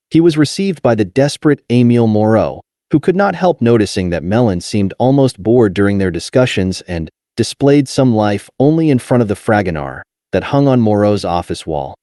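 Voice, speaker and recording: A man narrating a nonfiction audiobook in a measured, clearly articulated reading. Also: lowish voice, dark tone, no echo, studio quality.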